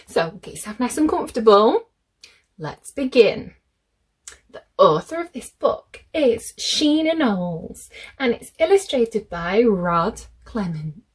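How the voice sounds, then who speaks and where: A woman reading a children's picture book aloud, expressive and deliberate, speaking directly to young listeners. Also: on the high side, breathy edge.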